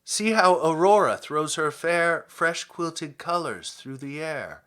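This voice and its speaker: A man reading classic poetry aloud in a theatrical, deliberate and expressive manner, as a podcast host. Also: a little high, clean tone. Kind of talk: dramatic poetry recitation.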